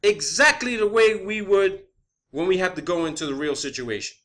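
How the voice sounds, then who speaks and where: Male online presenter, slightly high pitch, bright forceful tone, emphatic unscripted ranting with frequent swearing and rhetorical questions. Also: moderate pace. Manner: emphatic, insistent, swears often. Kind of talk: unscripted conspiracy theory monologue.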